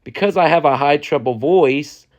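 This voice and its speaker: A young man, a music producer, giving a loose, chatty step-by-step software lesson and often checking in with the listener. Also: on the high side, moderate pace. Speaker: music producer teaching a tutorial. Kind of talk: casual step-by-step software tutorial.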